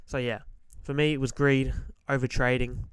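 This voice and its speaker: Slightly hoarse man giving blunt trading advice. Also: mid-range voice, little pitch movement, normal speed, no room sound. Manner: direct, emphatic, repeats key points; frequent throat clearing. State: slightly hoarse from being sick. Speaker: forex trader giving advice. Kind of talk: motivational trading-psychology talk to camera.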